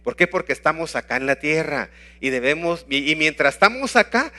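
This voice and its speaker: A man's voice: fairly high pitch, very animated, brisk, sharp tone. A man in his fifties from Guatemala with a Guatemalan Spanish accent, giving an earnest teaching talk on evangelism and posing rhetorical questions.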